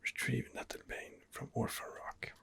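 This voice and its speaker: A man giving ASMR game commentary in a breathy, husky whisper, hesitant and rambling as he muses his way along. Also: moderate pace. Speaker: video game streamer, channel host.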